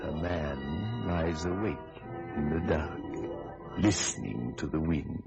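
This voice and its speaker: An actor playing a brooding radio thriller narrator, measured, suspenseful and portentous. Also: male voice, low voice, lively intonation, creaky, muffled recording, exaggerated voice. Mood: ominous, suspenseful. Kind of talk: dramatic scripted narration. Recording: old radio recording under loud music.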